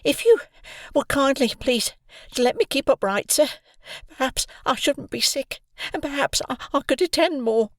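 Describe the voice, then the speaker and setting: A man voicing a frightened small boy who pleads timidly and politely, in a very high falsetto. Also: expressive, normal speed, a bit bright, no echo, studio quality, full character voice.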